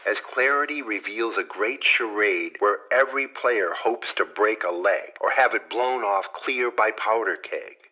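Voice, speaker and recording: An actor playing a conspiratorial narrator who warns the audience in an urgent, theatrical, rhetorical verse monologue. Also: male voice, lowish voice, breathy edge. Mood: fervent, warning. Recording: dull, noisy radio-like recording.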